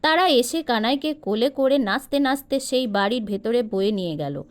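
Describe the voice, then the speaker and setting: Female narrator for children's folk tales: high-pitched, very lively intonation, dramatic delivery. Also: normal speed. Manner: expressive, dramatic storytelling delivery. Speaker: storyteller.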